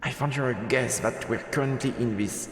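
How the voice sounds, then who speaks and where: A man's voice: mid-range voice, fairly quick, reverberant, long reverb tail. A man streaming a game, switching between let's play commentary and reading the story text aloud.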